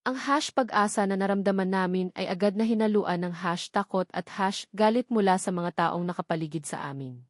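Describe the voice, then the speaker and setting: A woman's voice: mid-range voice, a little fast, no echo, clean studio sound. A woman storyteller giving scripted first-person romance narration in a dramatic, sentimental manner.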